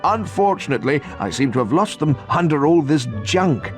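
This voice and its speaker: Animated, bumbling British wizard dad. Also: male voice, a little high, moderate pace, faint music behind. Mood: amiable. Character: friendly, bumbling middle-aged wizard father. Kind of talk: video game character acting.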